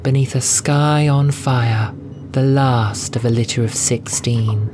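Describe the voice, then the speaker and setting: Gentle feline novice nun, acted by a man, soft wistful storyteller, pitched up, music underneath. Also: steady tone, takes it slowly, natural voice. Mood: rueful, bitter. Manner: soft, wistful, faintly bitter, earnest storytelling. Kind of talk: audio drama storytelling.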